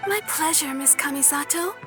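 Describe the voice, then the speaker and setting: A woman's voice: high voice, moderate pace, lightly acted. A woman voicing a helpful female kimono tailor in a video game, chatting like a friendly shopkeeper. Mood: pleased. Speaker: kimono shop owner. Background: game music.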